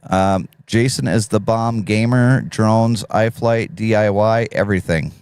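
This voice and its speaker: Deep-voiced man hosting a live stream. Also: measured pace, darkish tone, smooth, no room sound. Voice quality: deep radio voice. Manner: laid-back, reads chat messages aloud, laughs often. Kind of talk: casual live stream chat.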